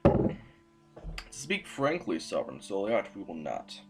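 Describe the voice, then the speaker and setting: An actor playing a formal, ruthless and weary council sovereign in an audio drama, speaking in deliberate official phrases. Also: male voice, low-pitched, wildly swooping pitch, faint music behind, full character voice. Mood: cold, grave.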